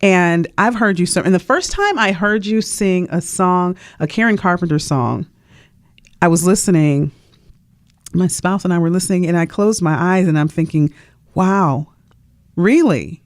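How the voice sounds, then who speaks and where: A woman's voice: fairly low pitch, expressive, unhurried, no room sound, clean studio sound. A woman radio host interviewing a guest conversationally in an effusive, affirming manner. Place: radio studio.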